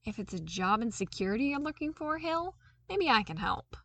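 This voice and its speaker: A woman reading aloud and voicing a brash, teasing male genius with quick, showy delivery. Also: fairly high pitch, very animated, pushed and bright, far from the mic, voice pushed up, full character voice.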